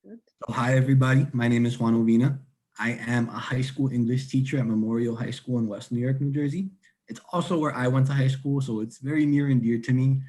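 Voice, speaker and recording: A young man in his twenties who teaches high school English, speaking at a middle pitch in a fluent, upbeat way. He talks over a video call. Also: fairly even intonation, a little fast, warm tone. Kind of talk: video call panel introduction.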